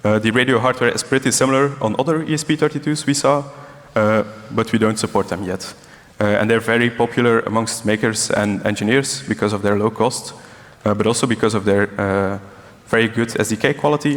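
A man from Belgium gives a technical conference presentation as one of the speakers. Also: lowish voice. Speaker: conference speaker, embedded and security developer. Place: large conference hall. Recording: echoey hall with long reverb.

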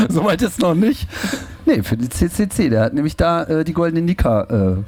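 A man's voice: on the high side, measured pace, noisy background. A man hosting a podcast on stage before a live audience, chatting casually and joking a lot. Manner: joking, laughs often, lively delivery. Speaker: podcast host.

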